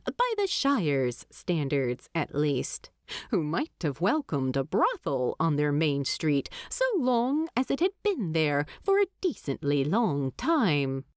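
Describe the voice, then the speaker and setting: Woman narrating an audiobook, super lively up and down voice, takes her time, no room sound. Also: on the low side, dry recording, clean studio sound. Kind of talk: scripted storybook narration.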